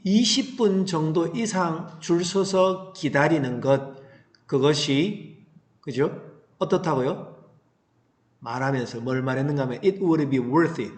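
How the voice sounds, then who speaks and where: A man's voice: fairly high pitch, darkish tone. A man teaching an English reading lesson, explaining line by line and putting questions to students that he answers himself. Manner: didactic, asks rhetorical questions and answers them. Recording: roomy, echoing sound.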